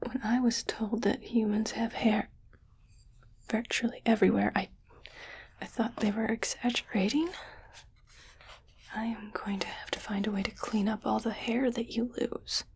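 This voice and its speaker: A woman voicing a haughty, fussy alien collector in a breathy, whispered ASMR roleplay, with a condescending manner. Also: on the high side, fairly even intonation, a little mellow, slight background noise. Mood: puzzled, disgusted. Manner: slow, deliberate, condescending.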